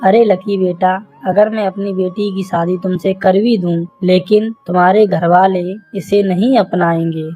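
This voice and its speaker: Woman playing older cartoon fisher father, lowish, soft dark tone, cautious, fatherly. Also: fairly even intonation, normal speed, hardly any pauses, faint music behind, natural voice. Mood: concerned. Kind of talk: cartoon story dialogue.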